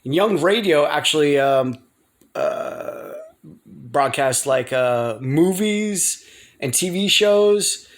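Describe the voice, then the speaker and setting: An American man speaks in a somewhat high-set voice. He rambles casually as a video blogger, hesitating often. He repeats words and circles back to what he was saying. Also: expressive. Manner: halting, repetitive, trailing off and circling back.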